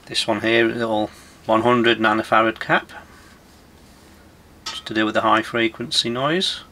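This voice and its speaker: A man's voice: fairly low pitch, steady tone, moderate pace, sharp tone. A man in his forties talking casually and informally through hands-on electronics work as he does it, like a workshop demonstrator. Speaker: electronics repairer presenting a workshop video. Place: indoor electronics workshop at a workbench.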